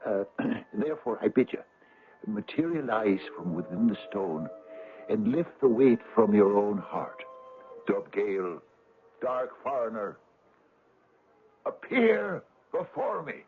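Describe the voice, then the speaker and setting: An actor playing a dreamy, idealistic, poor father, fervent and excitable, in an old-time radio drama. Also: fairly low pitch, takes it slowly, long pauses. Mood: awed, solemn. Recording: tinny, noisy old radio recording.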